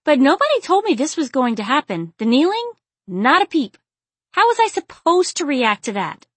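Tipsy young heroine, high voice, dramatic and pleading, audiobook style female performer. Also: sing-song, normal speed, dry recording. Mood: pleading, flustered. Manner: dramatic, exclamatory. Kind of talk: audiobook character acting.

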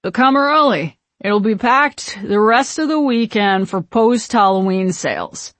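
A woman voicing a tipsy, excitable young man out partying in an audiobook, gushing playfully in exclamations. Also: mid-range voice, a little slow, dry recording. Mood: tipsy, delighted.

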